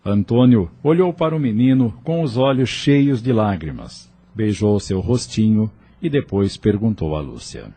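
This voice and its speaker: A man narrating an audio novel in a measured, expressive storytelling way. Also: on the low side, husky.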